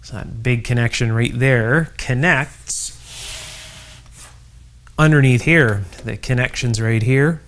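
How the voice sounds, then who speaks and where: A man's voice: medium pitch, slow pace, pauses often, a bit bright. A middle-aged man hosting an informal workshop video, explaining things in an enthusiastic, conversational way. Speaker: host of an electronics restoration channel. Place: indoor electronics workshop.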